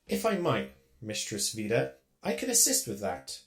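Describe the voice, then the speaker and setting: A man voicing a polite, dutiful servant droid in a roleplay series, speaking formally and with measured precision. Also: medium pitch, huge pitch swings, creaky. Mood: informative, precise. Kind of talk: Minecraft roleplay web series voice acting.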